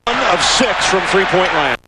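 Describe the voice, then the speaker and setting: A man calling live basketball play-by-play with excited, emphatic calls. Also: sits high, normal speed. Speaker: play-by-play announcer. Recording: broadcast over loud arena crowd noise. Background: roaring basketball arena crowd, bouncing ball.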